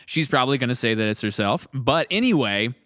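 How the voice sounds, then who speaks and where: Young guy hosting a chill podcast, smooth voice, dry close sound, jokey, restarts a lot. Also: medium pitch, animated, a bit bright, no room sound. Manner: jokey, quick to laugh, restarts sentences. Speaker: podcast host and series creator. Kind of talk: casual podcast chat.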